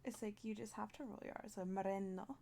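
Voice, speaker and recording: A woman's voice: mid-range voice, fairly even intonation, fast talker, vocal fry, dark tone, a little hiss or hum. A woman talking in character during a roleplay, playful and slipping into Spanish phrases. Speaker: roleplay player.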